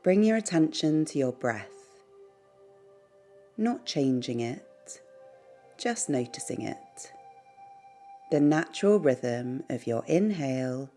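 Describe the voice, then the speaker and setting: A woman leading a guided meditation in a soothing, gentle, unhurried way, pausing between short phrases. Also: sits low, animated. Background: background music.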